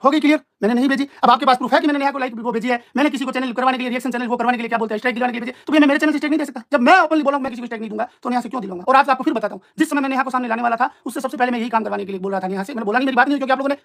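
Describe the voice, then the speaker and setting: A man's voice: very high-pitched, very fast, creaky, few pauses, a bit bright. A man in an informal live-stream argument, explaining himself in an emphatic, repetitive, combative way.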